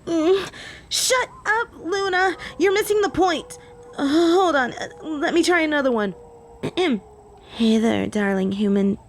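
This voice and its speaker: A woman's voice: animated, unhurried, a little hiss or hum. A woman voicing a haughty, jealous female vampire in a roleplay audio drama, snippy, indignant and easily flustered. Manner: snippy, indignant, higher-pitched.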